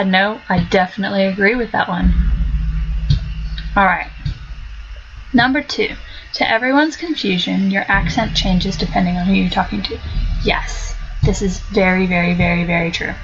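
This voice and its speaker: A woman's voice: mid-range voice, unhurried, pauses often, a bit bright, lo-fi sound, a little hiss or hum. Chatty woman with a mixed accent. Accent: mixed American-Australian. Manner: chatty, many ums and restarts. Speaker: vlogger. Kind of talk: casual monologue to camera.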